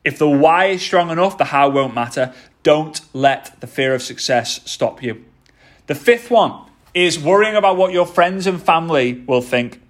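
A man in his thirties gives a motivational talk on his podcast. His voice sits a little high for a man. He speaks with energy and keeps repeating his key points for emphasis. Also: lively intonation, moderate pace. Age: 30s.

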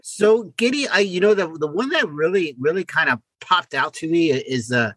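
Animated, bright-voiced man co-hosting a podcast. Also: on the high side, husky, no echo. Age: middle-aged. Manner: halting, repeats words. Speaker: podcast co-host. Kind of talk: casual conversational chat.

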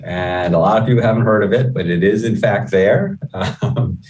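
A middle-aged man with a low voice answers interview questions as an executive. His delivery is measured and conversational, sprinkled with "you know". He sounds somewhat distant from the microphone. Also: lively intonation, darkish tone. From Guyana, moved to the US at eleven. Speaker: chief executive, interview guest.

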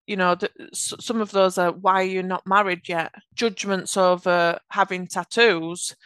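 A woman with a Yorkshire accent, a podcast guest giving earnest, measured answers about her work. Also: lowish voice, steady tone, a bit bright, clear, resonant tone, no echo. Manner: earnest, measured explanations with occasional restarts. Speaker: podcast guest, automation architect. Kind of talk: conversational interview answers.

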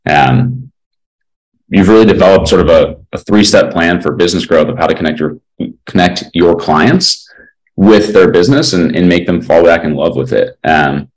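A young man in his twenties hosts a podcast interview, speaking in a relaxed, hesitant way with many restarts. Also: a bass voice, rough recording. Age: 20s. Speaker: podcast host.

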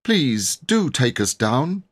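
A man's voice: mid-range voice, sing-song, slow, pitched above the performer's own voice. A man voicing an earnest young schoolboy in a storybook, pleading in a strained way, polite but defiant.

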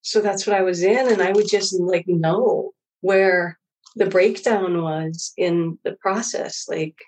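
A middle-aged woman from Hawaii with a slightly husky voice, telling personal stories in an informal, rambling, reflective way as an interview guest. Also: lowish voice, takes it slowly, darkish tone, a little hiss or hum, low-quality recording. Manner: informal, rambling, quotes own thoughts. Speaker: interview guest, former engineer. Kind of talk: reflective personal storytelling in conversation.

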